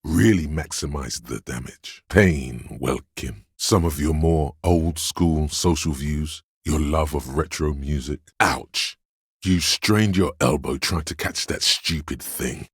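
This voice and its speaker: A man's voice: slow pace, dry recording, studio quality, exaggerated voice. A man voicing a video game's inner voice of pain, a grim, sardonic, goading presence narrating with brooding deliberation. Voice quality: deep, gravelly, husky. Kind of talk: dramatic second-person game narration.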